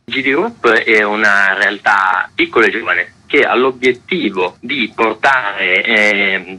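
Male festival organizer, lowish bright voice, measured with restarts, radio interview. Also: rough recording. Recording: radio broadcast.

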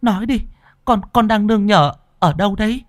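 A man voicing a grieving mother, a woman spirit medium, who pleads and stammers in a high voice. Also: brisk, no room sound, natural voice. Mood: pleading.

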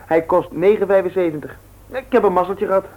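An actor as a high-voiced cheeky teenage lad. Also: male voice, brisk, muffled recording, natural voice. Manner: breezy, evasive, jaunty. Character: cheeky, easygoing seventeen-year-old son. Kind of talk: Dutch radio play acting. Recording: very noisy, clicking old radio recording.

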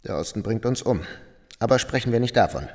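An actor playing a cynical, smooth-talking army major and adjutant in a radio drama, polite and wry. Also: male voice, medium pitch, lively intonation, brisk, darkish tone, close to an everyday voice. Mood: polite, concerned. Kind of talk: German radio drama acting. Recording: long reverberant hall.

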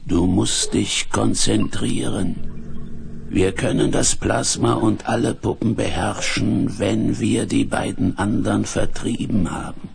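Ein Schauspieler spricht in einem Science-Fiction-Hörspiel einen körperlosen, feierlich grübelnden Geist aus fremdem Plasma, langsam und bedächtig. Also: male voice, fairly low pitch, fairly even intonation, gravelly, slight background noise, full character voice. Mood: intense, commanding. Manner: slow, deliberate, brooding delivery. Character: slow, solemn disembodied male mind merged into alien plasma. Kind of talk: 1970s German science fiction radio drama acting.

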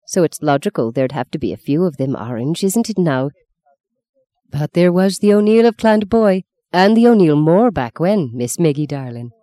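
A woman's voice: lowish voice, warm tone, dry recording, lightly acted. A woman voicing a gossipy, doting old cook who talks in a lilting, coaxing way.

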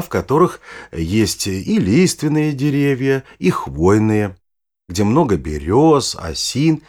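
An actor playing an older, knowledgeable naturalist grandfather explaining things patiently in an audio play, with a husky voice. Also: fairly low pitch, darkish tone, full character voice. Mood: patient, descriptive.

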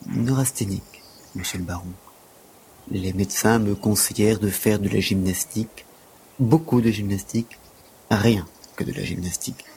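A man's voice: lowish voice, normal speed, gravelly, darkish tone, slightly rough, full character voice, poor audio. A man playing a humble, deferential gymnastics teacher in an audio drama, speaking in earnest, wordy, formal phrases.

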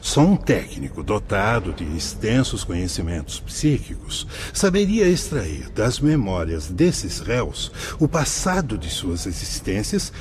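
An actor playing a learned, patient spirit guide in a radio drama, speaking formally, emphatically and didactically. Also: male voice, fairly low pitch, huge pitch swings, measured pace, few pauses, slight background noise. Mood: patient, firm. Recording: music behind the voice.

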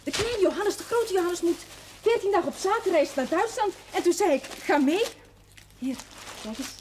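A young woman with a very high voice, eager and persuasive, quick to take offence. It is Dutch period television drama, on a noisy old soundtrack. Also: animated, a little mellow.

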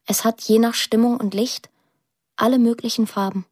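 An actress playing a young woman who narrates a radio drama in a plain, reflective, measured way. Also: fairly high pitch, steady tone, darkish tone, no echo, clean studio sound, natural voice. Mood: reflective, confiding. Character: pensive young woman narrating her estranged family.

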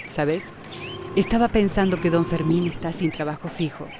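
A woman playing a patient young wife and gentle peacemaker with a Costa Rican accent, soft-spoken and hesitant, in a radio drama. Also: on the low side, moderate pace, noisy background, natural voice.

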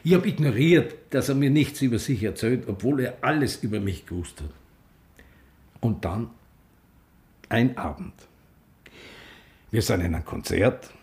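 Husky, defiant older Austrian bar owner. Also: male voice, mid-range voice, a little mellow, heavily acted. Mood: wistful. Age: 60s. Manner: dialect-tinged, impassioned, reflective. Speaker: lead actor and narrator. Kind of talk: radio drama acting and first-person narration.

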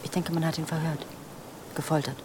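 A woman's voice: low-pitched, flat delivery, a little fast, noisy background, lightly acted. An actress playing a self-possessed young woman in police work, cool and matter-of-fact, in a naturalistic radio drama. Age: young adult.

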